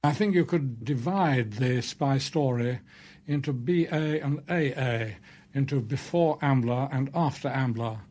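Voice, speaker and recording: Male critic, mid-pitched voice. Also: a little mellow, slight background noise. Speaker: interviewed literary expert. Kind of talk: spoken critical commentary.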